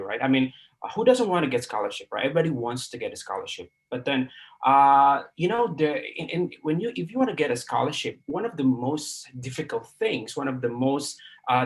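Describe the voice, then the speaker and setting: A man's voice: medium pitch, fairly bright tone. Indonesian-accented man teaching, asking "right?". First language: Sundanese. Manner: many fillers and restarts, keeps asking "right?". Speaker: guest lecturer. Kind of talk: live online class talk.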